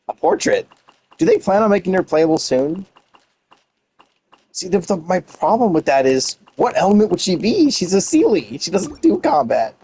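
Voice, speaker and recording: A man's voice: a little high, a little mellow, husky. A man giving casual, rambling and playful commentary as he plays through a video game. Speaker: gameplay streamer narrating a playthrough. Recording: music playing behind the voice. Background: video game music.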